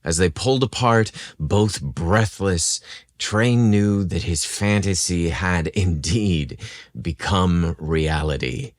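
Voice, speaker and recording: A man with a very deep voice narrates a romance story. His delivery is slow and deliberate, like a storyteller reading from a script. The recording is dry and close, with no room sound. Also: no echo. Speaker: podcast host and story narrator.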